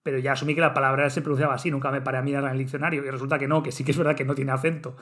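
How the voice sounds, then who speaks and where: A man co-hosting a podcast in casual chat, restarting his sentences as he goes. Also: medium pitch. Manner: fast, animated, restarts sentences often. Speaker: podcast co-host.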